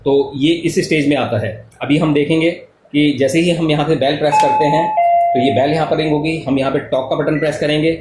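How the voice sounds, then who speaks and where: A man's voice: a little high, steady tone, fairly quick. A man with an Indian accent giving a step-by-step explanatory product tutorial, repeating key points as he goes. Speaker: product demonstrator. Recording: echoey room with clear background noise.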